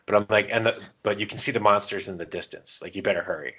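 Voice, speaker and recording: A man's voice: lowish voice, steady tone, fast, pushed and bright, slightly rough. A man co-hosting a podcast, chatting casually and informally as he shares advice and anecdotes. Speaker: podcast co-host. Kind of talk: casual chat giving gaming advice. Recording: dull, muffled lo-fi podcast audio.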